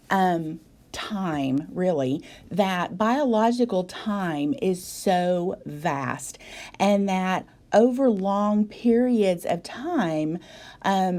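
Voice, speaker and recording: A woman from the South, a biology instructor giving a recorded video lecture in a voice hoarse from a cold, measured and explanatory with frequent ums. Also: mid-range voice, warm tone. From American South.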